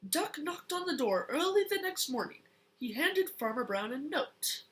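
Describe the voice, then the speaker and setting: A young child reading a picture book aloud in a deliberate, expressive way. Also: high-pitched. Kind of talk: storybook read-aloud.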